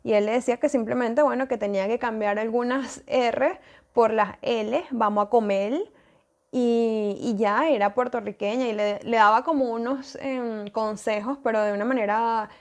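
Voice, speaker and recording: A woman's voice: fairly high pitch, steady tone, normal speed. A woman with a Venezuelan Spanish accent, hosting a podcast and talking in a thoughtful, casual way, with many fillers and restarts. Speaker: podcast host. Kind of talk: casual solo podcast talk.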